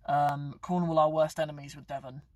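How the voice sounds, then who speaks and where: A man's voice: fairly high pitch, little pitch movement, a little fast, no echo, clean studio sound, distant mic. A young man hosting a casual live stream from inside his car, joking and rambling with frequent self-corrections. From England. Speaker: YouTube channel host.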